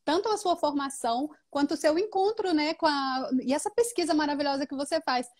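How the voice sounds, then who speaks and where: High-voiced woman hosting a live interview. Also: a little fast, a little mellow. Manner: effusive and encouraging toward the guest. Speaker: host and language teacher.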